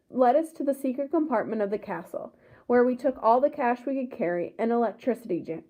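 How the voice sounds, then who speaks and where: A woman's voice: fairly high pitch, warm tone. A woman reading a first-person story aloud from a script. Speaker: story reader. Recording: thin, phone-like sound.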